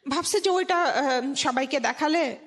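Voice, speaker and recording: A woman's voice: high-pitched, measured pace, fairly bright tone. A woman with a Bangladeshi accent telling a personal story conversationally as a radio guest. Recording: long hall-like reverb.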